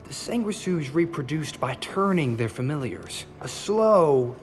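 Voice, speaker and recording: A high-voiced, nervous bookish scholar. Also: male voice, lively intonation, a little slow, soft background music. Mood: eager, explanatory. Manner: hesitant, wordy, polite. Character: nervous, bookish, eager male monster scholar. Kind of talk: dramatic game dialogue. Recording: clear noisy ambience behind voice.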